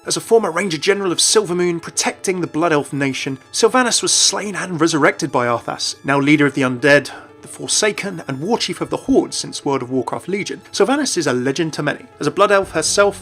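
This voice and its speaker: Higher-voiced man narrating over music. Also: animated, a little fast, few pauses, a bit bright. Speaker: host of a video game channel. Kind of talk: scripted explanatory narration. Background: background music.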